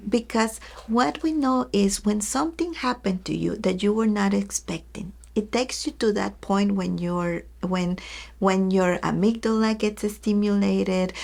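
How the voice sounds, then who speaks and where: Woman educator, lowish gravelly voice, earnest teaching talk that speaks to listeners directly. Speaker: early childhood educator.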